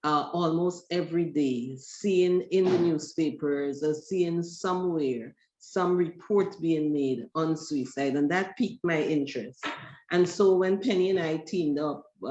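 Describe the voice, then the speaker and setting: A woman researcher speaks slowly and deliberately, weighing each phrase as she presents. Her voice carries through an echoey room, and the audio is dull and lo-fi. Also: low-pitched, darkish tone. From Guyana. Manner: measured, deliberate. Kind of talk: academic webinar presentation.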